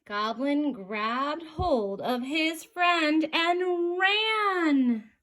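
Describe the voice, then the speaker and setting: High-voiced, unhurried woman reading a story. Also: animated, fairly bright tone. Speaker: storybook reader. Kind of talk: expressive children's story narration.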